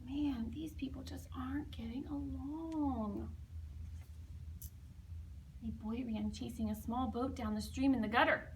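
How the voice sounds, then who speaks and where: A woman reading a children's picture book aloud expressively, with dramatic emphasis and hushed asides to young listeners. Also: high voice, steady tone, a little slow, long pauses, distant mic, slight background noise. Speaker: storybook reader.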